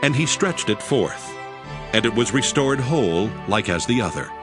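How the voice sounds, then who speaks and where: A man narrates scripture in a steady, reverent manner, his pitch moving expressively through each sentence. Music plays clearly beneath him. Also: moderate pace.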